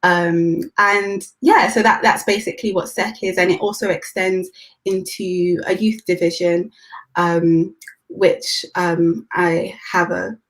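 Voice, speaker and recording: A woman's voice: lowish voice, level tone, slow, rough recording. Earnest young British-accented woman guest. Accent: British English. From England. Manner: earnest, with many ums and self-corrections. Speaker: youth leader and guest. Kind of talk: panel introduction.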